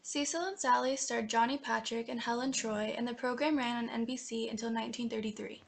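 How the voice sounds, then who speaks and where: Young woman reading a history script fast, lots of vocal fry. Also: on the high side, steady tone. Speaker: narrator of student history project. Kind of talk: scripted documentary narration.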